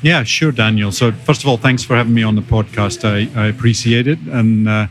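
A middle-aged man with a Scottish accent, a biotech executive answering interview questions in a measured, detailed, conversational way. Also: on the low side. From Scotland. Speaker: podcast guest, biotech executive.

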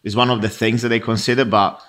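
A man's voice: on the low side, flat delivery, quick pace, a bit bright. A man whose first language is Italian, a guest on a podcast, talking casually and candidly with many fillers and restarts. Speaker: guest, recruiter in Tokyo.

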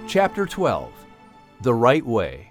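Casting: male announcer-narrator for kids' audiobook, lively intonation, slightly high voice, music behind. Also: slow. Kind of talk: scripted narration for children's story.

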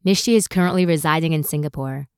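Low-voiced woman narrating, dry sound. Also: quick pace, darkish tone, smooth, no room sound. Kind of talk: scripted narration.

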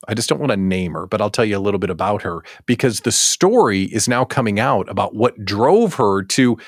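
A man with a mid-pitched voice hosts a casual live show in a dry, close sound. His delivery is theatrical and exaggerated, and his pitch moves up and down a great deal as he talks directly to his audience. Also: dry recording. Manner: animated, theatrical, with mock disbelief and exaggerated emphasis. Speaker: host of a daily live show for small business owners. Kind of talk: casual live monologue to viewers.

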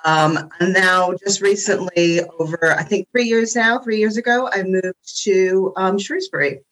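American woman, accent gone half english, bright voice, laughs a lot, easygoing podcast chat. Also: medium pitch, a little slow, low-quality recording. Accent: American with English influences. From Pennsylvania, US. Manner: chatty, laughs often. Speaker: podcast guest, interior designer. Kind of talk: casual chat.

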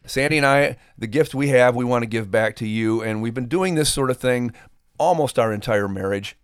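A man's voice: medium pitch, normal speed, smooth, dry recording, studio quality. A middle-aged man hosting a podcast, speaking to listeners in an earnest, folksy, conversational way. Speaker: podcast host and ministry performer.

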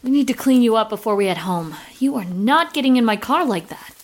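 An actress playing a young boy's exasperated, anxious mother in a horror audio drama, expressive and exclamatory. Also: a little high, fairly quick, lightly acted.

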